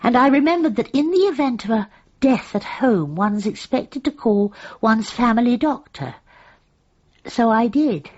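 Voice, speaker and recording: Bereaved widow, radio comedy, forlorn, hesitant, slow, husky, swooping intonation, slightly muffled. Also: female voice, on the high side, animated, a little mellow. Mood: mournful. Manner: plaintive, hesitant, understated. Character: forlorn, newly bereaved genteel widow, mournfully deadpan. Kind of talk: comic radio drama acting.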